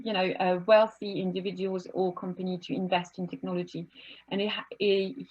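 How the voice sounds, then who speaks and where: A woman's voice: fairly low pitch, a little mellow, lo-fi sound. A woman hosting an online panel from home over a video call, friendly and chatty, with many fillers and restarts. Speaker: webinar host and moderator. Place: home office.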